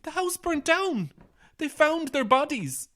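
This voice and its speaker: A woman's voice: sits high, sing-song, slow, a bit bright, full character voice. An actress in a horror audio drama playing a frightened, unravelling woman, anxious and moving between whispers and cries. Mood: distraught. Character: frightened, unravelling woman trapped on an empty housing estate.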